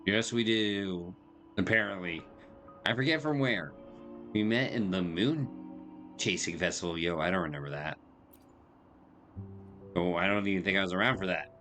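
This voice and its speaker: A man's voice: low-pitched, moderate pace, long pauses, pushed and bright, soft background music. A man hosting a game playthrough with casual, dry, laconic asides. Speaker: gaming video host.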